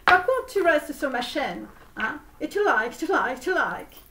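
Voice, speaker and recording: Woman putting on a high-pitched, pushy tarot guru. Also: very animated, pushed and bright, a little hiss or hum, full character voice. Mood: pushy, reassuring. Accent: put-on Romanian. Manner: repetitive, halting, theatrical, insistent. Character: comic fake Romanian-Brooklyn online tarot reader, pushy woman, falsely reassuring. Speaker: online tarot reader hosting a channel. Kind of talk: parody tarot reading spoken to viewers. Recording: roomy echo, distant microphone.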